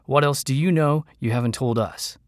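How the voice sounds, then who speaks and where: A man's voice: lowish voice, moderate pace, no room sound, studio quality, natural voice. A man voicing a proud, suspicious knight with a cold, stern, accusing manner. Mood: cold suspicion.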